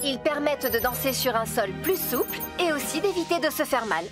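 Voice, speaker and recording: A woman's voice: high-pitched, animated, brisk, over music. A woman playing a sensible, kindly young builder, reassuring and instructive but firm, in a French-dubbed children's cartoon. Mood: explaining.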